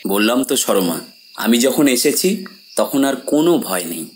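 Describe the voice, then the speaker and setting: A man's voice: mid-range voice, very animated, a little slow. An actor playing a confident, devoted fiancé in his thirties, speaking reassuringly and emphatically in an audio horror drama. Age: 30s.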